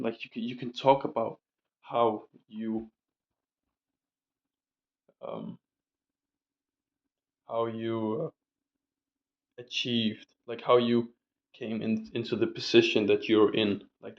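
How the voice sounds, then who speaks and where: A man's voice: mid-range voice, vocal fry, lo-fi sound. A young man rambling casually in a diary vlog, full of fillers and casual swearing, his voice still recovering from a sore throat. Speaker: vlogger.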